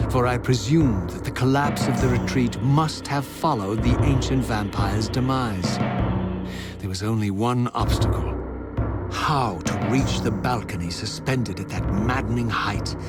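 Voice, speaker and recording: An actor playing a brooding undead wraith avenger, narrating a game's story in a grave, eloquent, solemn and measured theatrical manner. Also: male voice, mid-range voice, natural voice. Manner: solemn, formal, measured dramatic delivery. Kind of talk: theatrical scripted game narration.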